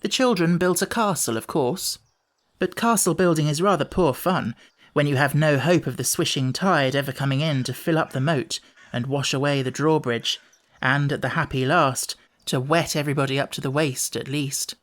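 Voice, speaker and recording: A man's voice: on the high side, moderate pace, a bit bright. Man narrating a children's storybook. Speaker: audiobook narrator.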